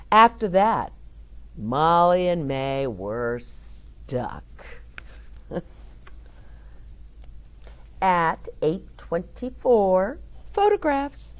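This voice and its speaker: A woman reads a children's picture book aloud in an unhurried, very slow way, with a low voice that still swoops up and down a lot. She pauses to explain words and to ask her young listeners gentle questions. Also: big pitch swings. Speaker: children's storyteller.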